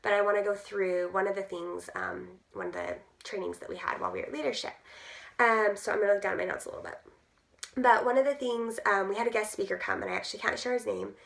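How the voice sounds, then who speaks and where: Hoarse, gruff-voiced woman with creaky fry. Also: mid-range voice, a bit bright. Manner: chatty, many "um" fillers, upbeat. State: hoarse, losing her voice. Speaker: direct sales team leader. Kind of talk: casual talk to camera.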